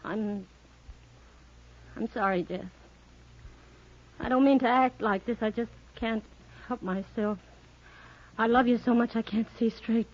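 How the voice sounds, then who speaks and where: A tormented, jealous wife in an old radio melodrama. Her voice sits high, and she turns between accusation and pleading. The recording is muffled and aged. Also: fairly even intonation, unhurried, pauses often. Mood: contrite, tender. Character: jealous, tormented wife of a dance-band pianist. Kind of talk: 1950s radio drama acting.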